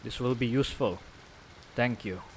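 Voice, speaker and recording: A man's voice: medium pitch, normal speed, a little hiss or hum, natural voice, rough recording. Guarded, proud islander father, acted by a man. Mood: guarded, formal. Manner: formal, terse phrasing. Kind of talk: audio drama acting.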